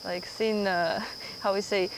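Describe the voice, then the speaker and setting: A woman's voice: medium pitch, steady tone, slow, creaky, noisy background. Hesitant woman hosting a podcast. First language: Russian. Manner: hesitant, searches for words with many fillers. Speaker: podcast host. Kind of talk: conversational interview.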